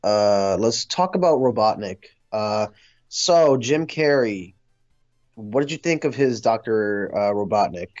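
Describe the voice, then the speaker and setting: A man hosting a casual film discussion, speaking in a hesitant, halting way. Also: on the low side, expressive, a little mellow, no room sound, dull audio, faint music behind.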